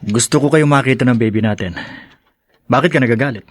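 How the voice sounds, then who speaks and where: A man's voice: mid-range voice, a little fast, dry recording, lightly acted. A man with a Filipino accent acting a jealous, violent young lover in a radio drama. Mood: sneering. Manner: sneering, threatening.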